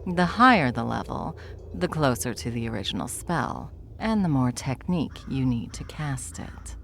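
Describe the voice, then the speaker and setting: A woman as a very deep-voiced ancient wry sorcerer. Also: big pitch swings, moderate pace, slight background noise, exaggerated voice. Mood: animated explaining. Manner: theatrical, wry, leisurely lecturing with breathy asides. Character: ancient deathless spellcaster, wry, self-assured, lecturing. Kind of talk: ASMR fantasy roleplay audio drama.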